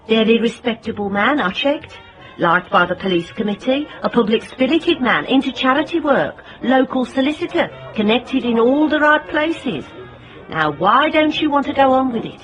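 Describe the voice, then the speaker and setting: An actress playing a tough, determined private investigator in a radio drama, dry and wry. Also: female voice, a little high, expressive, normal speed, faint music behind, natural voice, low-quality recording. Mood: insistent. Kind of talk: radio drama acting with first-person narration.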